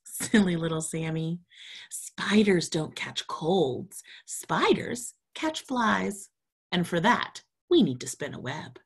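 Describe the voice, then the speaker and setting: Woman as mother spider, unhurried, fond, gently teasing, slightly husky, storybook read-aloud. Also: fairly low pitch, expressive, full character voice. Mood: fondly teasing. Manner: slow, fond, explaining.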